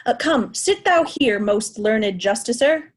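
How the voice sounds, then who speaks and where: A woman's voice: a little high, lively intonation, measured pace. A woman playing a mad, commanding old king in a Shakespeare reading. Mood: mad, imperious. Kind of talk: remote reader's theatre Shakespeare.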